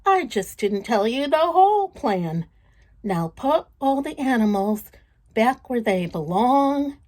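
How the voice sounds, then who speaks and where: A woman voicing a wise old village woman in a storybook, calm, measured and knowing. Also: on the high side, exaggerated voice. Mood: assured.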